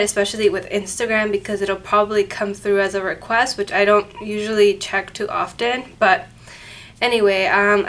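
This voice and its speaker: Chatty nasal woman, slightly high. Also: a bit bright. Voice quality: nasal, congested from a cold. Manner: chatty, rambling with self-corrections. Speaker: paranormal channel host. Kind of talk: casual chat to camera. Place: office at her workplace, indoors. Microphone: camera mic, no microphone.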